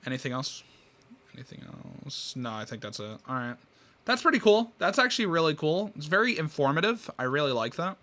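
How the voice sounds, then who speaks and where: Reaction host: young man, slightly high and sharp-edged voice, blunt, off-the-cuff. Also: sing-song, fairly quick. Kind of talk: casual reaction commentary.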